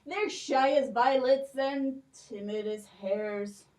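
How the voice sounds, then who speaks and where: A woman's voice: high-pitched, slow pace, darkish tone, echoey room, recorded from a distance, pitched below the performer's own voice. A woman voicing a poor, fond old father with a folksy old-country lilt in a children's puppet show. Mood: doting.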